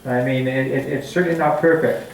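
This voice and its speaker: A man's voice: mid-range voice, steady tone, fairly quick, a little hiss or hum. A middle-aged man from Canada, a family physician giving a chatty public talk with many restarts and fillers at a community forum. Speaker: guest speaker, family physician. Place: community hall meeting room. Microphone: handheld mic, far camera. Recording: echoey hall from far off.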